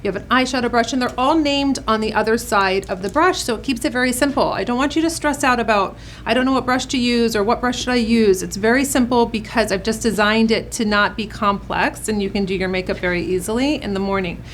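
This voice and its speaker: A woman's voice: a little high, brisk, hardly any pauses, slight background noise. A woman hosting a makeup tutorial, speaking to her viewers in an upbeat, encouraging way. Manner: upbeat, encouraging pep-talk delivery. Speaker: host, makeup artist. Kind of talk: beauty tutorial vlog, addressing viewers.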